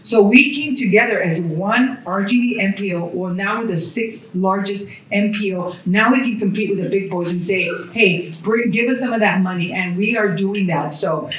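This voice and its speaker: A woman's voice: medium pitch, moderate pace, hardly any pauses, fairly bright tone, echoey room, distant mic, low-quality recording. A woman on a panel at a brunch, talking earnestly about regional cooperation and listing agencies and places. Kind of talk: panel discussion talk. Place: hotel event room at a brunch. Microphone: handheld mic passed along the panel.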